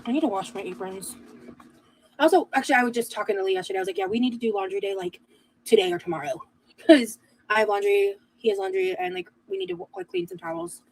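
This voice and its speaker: A woman's voice: medium pitch, lively intonation, quick pace, creaky, darkish tone. Chatty woman cooking, noisy background. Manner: chatty, lots of "like", talks while busy cooking. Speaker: cooking livestream host. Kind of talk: casual chat while cooking. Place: home kitchen.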